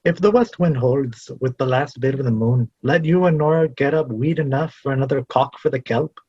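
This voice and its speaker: Casting: actor as firm young island son, raspy medium-pitched voice, practical, rural tragedy. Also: male voice, normal speed, dark tone, no room sound, highs cut off, close to an everyday voice. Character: resolute young Irish seafaring son. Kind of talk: stage drama read as virtual play.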